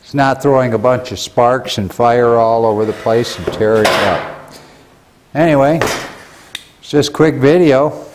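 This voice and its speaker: A man's voice: medium pitch, unhurried, background noise. A middle-aged man with an American accent, giving a casual tool demonstration to camera in a plain-spoken, folksy way. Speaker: presenter of how-to videos for a store. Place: plain indoor workshop room. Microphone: lapel mic.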